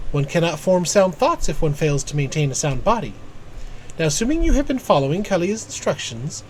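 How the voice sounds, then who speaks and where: A man's voice: sits high, slight background noise, rough recording. A man voicing a scholarly, exacting guild mentor from a fantasy game, lecturing a pupil in formal, old-fashioned phrases. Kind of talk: reading game quest dialogue aloud.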